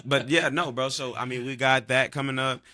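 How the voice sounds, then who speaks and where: Rambling bright-voiced man in his thirties. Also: mid-range voice, fairly even intonation, normal speed, dry recording, clean studio sound. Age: 30s. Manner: rambling, profane, trails off. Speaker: podcast host. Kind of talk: casual chat.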